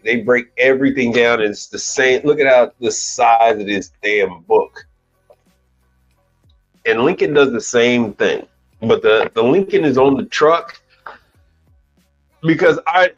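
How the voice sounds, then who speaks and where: A man in his forties talks at an easy, ordinary pitch, rambling through a casual live chat with plenty of restarts and mild curses. The sound is dull and lo-fi. Faint music plays underneath. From United States. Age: 40s. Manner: rambling, casual, swears lightly, restarts often. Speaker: commercial appliance repair technician and channel host.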